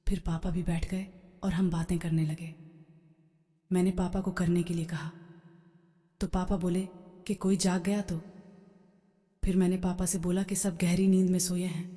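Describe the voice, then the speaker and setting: A woman's voice: on the low side, level tone, normal speed, pauses often, dark tone. A woman reading a scripted first-person story as its narrator. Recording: long hall-like reverb. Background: background music.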